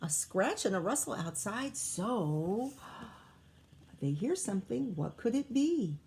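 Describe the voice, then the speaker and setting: Slow, expressive woman reading to children. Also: medium pitch, vocal fry, slight background noise. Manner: expressive, sing-song story reading, coaxing questions to young listeners. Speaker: primary school teacher reading aloud to pupils. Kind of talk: children's picture book read-aloud with asides and questions.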